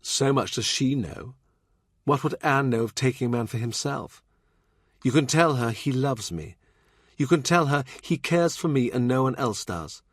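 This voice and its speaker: A defiant young noblewoman, voiced by a male audiobook reader, pitched well up. She is scornful and hot-tempered, with a haughty, emphatic edge, in a dry recording. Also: animated, moderate pace, dry recording, lightly acted.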